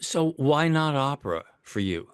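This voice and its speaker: A man's voice: a little high, very animated, measured pace, smooth, no echo, studio quality. A man interviewing a guest over a video call, asking brief, friendly questions. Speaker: interviewer and producer.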